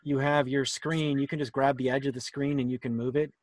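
A man's voice: medium pitch, flat delivery, brisk. A man presenting a workshop on a video call, casually explaining things and answering questions. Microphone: computer mic on a video call.

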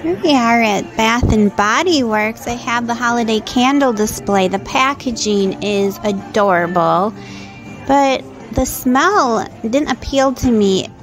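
Woman vlogger, high voice, slow unhurried delivery, phone mic at arm's length, big indoor mall, music in the background. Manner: chatty and excited, addresses viewers. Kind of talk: casual shopping vlog. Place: large indoor shopping mall. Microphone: phone at arm's length, selfie.

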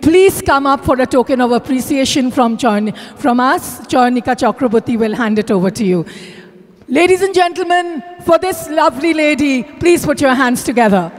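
High-pitched Indian woman hosting in echoey hall. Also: lively intonation, moderate pace. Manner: emphatic, announcing to a crowd. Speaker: event host. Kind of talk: formal vote of thanks at a live event. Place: large hotel ballroom. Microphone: handheld microphone through PA. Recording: echoey hotel ballroom PA, long reverb.

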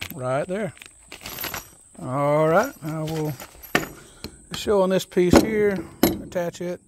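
Slow, folksy man building something outdoors. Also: sits high, a little hiss or hum. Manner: relaxed, folksy, thinks aloud and reads instructions. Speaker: DIY video host. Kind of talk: casual step-by-step assembly walkthrough. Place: outdoors on a wooden deck.

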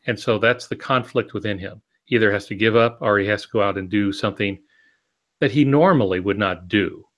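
Older male co-host, sixties, fairly low pitch, measured teacherly delivery, video-call sound. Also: fairly bright tone. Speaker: podcast co-host, writing mentor. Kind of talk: explanatory discussion. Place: home office, on a video call.

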